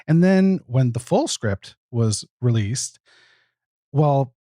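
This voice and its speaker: A man speaking as a podcast guest, explaining his project casually and in a thoughtful, measured way. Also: mid-range voice, animated, dark tone, no echo, clean studio sound. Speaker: podcast guest, producer and narrator. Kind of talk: casual interview answers.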